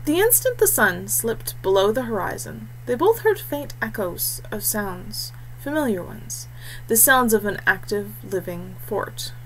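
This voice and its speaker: A woman narrates an adventure story from a script at a middling pitch, as an audiobook reader would. The recording has a noticeable noise and hum behind her voice. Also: very animated, a little slow. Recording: clearly noisy background hum.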